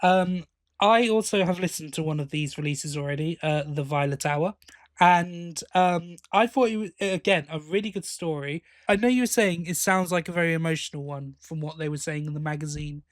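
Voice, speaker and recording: Guy hosting a fan podcast, chatty and opinionated, voice a bit high, no room echo. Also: moderate pace. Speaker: podcast host. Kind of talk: casual fan review chat.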